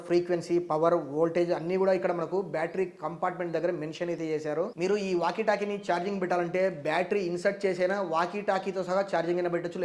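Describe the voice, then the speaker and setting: A man with a Telugu accent presenting a product review, explaining things step by step to viewers. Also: high voice. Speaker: tech reviewer.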